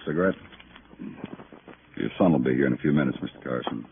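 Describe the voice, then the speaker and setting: An actor playing a relentless police detective with a measured, insinuating, coolly polite manner, in 1950s radio crime drama. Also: male voice, very deep voice, vocal fry. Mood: coolly pressing. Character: quiet, relentless male police detective interrogating suspects. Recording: tinny, noisy old radio recording.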